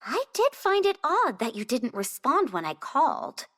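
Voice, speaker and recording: An actress playing an excitable, chatty young castle maid with a wild imagination in a video game cutscene, theatrical and gossipy. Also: female voice, sits high, normal speed, full character voice. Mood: earnest, puzzled. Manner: theatrical, gossipy, breathless speculation. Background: music.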